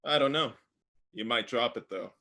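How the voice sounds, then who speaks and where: A man acts out a jealous underworld god in a comic stage play. The voice is sharp and forceful at a middle pitch, with a sly, theatrical, mock-sweet delivery. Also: moderate pace. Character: scheming evil god of the underworld, jealous brother.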